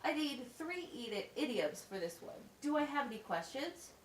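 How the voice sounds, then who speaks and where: Puppet-show schoolteacher, played by a woman: patient, encouraging, animated intonation, explains step by step; echoey, distant recording. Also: high-pitched, moderate pace. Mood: patient, explaining. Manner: instructional, simple step-by-step explaining with questions. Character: patient, encouraging female special education teacher at wizard school. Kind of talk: amateur puppet show acting. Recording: echoey, distant mic with faint noise.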